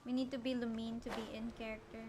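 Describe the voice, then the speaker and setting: A woman casually commentating as she livestreams a game, playful, slangy and quick to react. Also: on the high side, flat delivery, background noise. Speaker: game streamer. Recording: game music under the voice.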